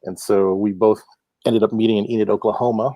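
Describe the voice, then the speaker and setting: Middle-aged man from Hawaii, easygoing interview guest, low voice, dry sound. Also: brisk, no echo. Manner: relaxed, laughs often, many fillers. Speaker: guest, comic book artist. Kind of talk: casual interview chat. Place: home.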